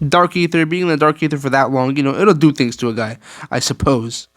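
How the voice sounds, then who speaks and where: Casting: male video creator for casual game commentary; opinionated, slangy, animated delivery that wanders into tangents; medium pitch, dry room-free sound. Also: a little fast, no echo.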